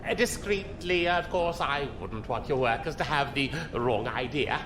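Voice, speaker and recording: An actor playing a villainous military commodore in an audio drama, formal, condescending and authoritative. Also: male voice, medium pitch, measured pace, lightly acted. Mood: suavely controlling. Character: smooth, imperious male military commodore, villainous. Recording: clear background room ambience noise.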